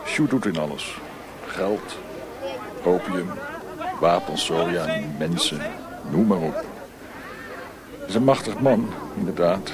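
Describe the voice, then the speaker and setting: An actor playing a worldly, detached naval officer in a radio drama, clipped and matter-of-fact. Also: male voice, sits low, slow, pauses often, a crowd in the background, background noise. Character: worldly, detached male naval officer who smokes opium. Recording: distant voice in busy outdoor scene.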